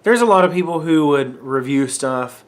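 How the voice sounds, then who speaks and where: A man's voice: a little high, animated, moderate pace. A young man from North Carolina chatting in an unedited home vlog, wandering off topic with lots of fillers while fighting a cold. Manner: rambling, filler-heavy, self-interrupting. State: getting a cold, clearing throat. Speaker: vlogger. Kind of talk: casual unedited vlog chat. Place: room at home. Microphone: camera mic, facing camera under ring light.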